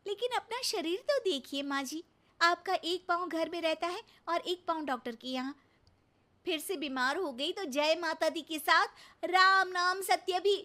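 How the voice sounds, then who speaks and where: Girl voice by a woman young bahu in a cartoon, really high n bright, polite but careful. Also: unhurried, heavily acted. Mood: worried. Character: cautious, dutiful young Indian daughter-in-law. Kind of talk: cartoon moral-story voice acting.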